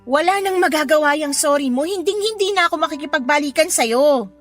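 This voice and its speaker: Woman playing high-voiced, scornful, wronged young mother. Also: expressive, fairly quick, fairly bright tone, faint music behind. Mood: exasperated. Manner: scolding, emphatic, sarcastic. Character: hurt, angry young mother rejecting her unfaithful ex. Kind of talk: Tagalog radio drama acting.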